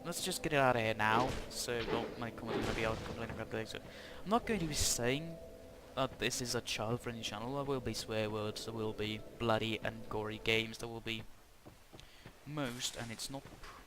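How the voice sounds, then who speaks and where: A man from Norway, talking in a halting, self-conscious way as he gives casual commentary over a video game. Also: mid-range voice. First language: Norwegian. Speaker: gaming channel host. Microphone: cheap headset mic. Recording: cheap headset mic with loud background noise.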